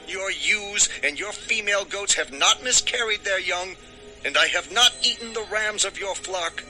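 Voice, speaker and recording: A man's voice: high voice, moderate pace, pushed and bright, faint music behind, rough recording. An actor playing an angry, aggrieved herdsman in a dramatized scripture recording, declaiming with impassioned force. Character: Jacob, angry, aggrieved long-serving herdsman protesting injustice. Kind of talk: dramatized audio scripture acting.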